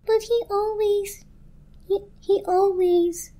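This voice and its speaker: Woman doing a high, timid, anxious old blanket. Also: fairly even intonation, takes it slowly, mellow. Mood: heartbroken. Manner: timid, earnest, faltering. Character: shy, wounded, anxious old electric blanket.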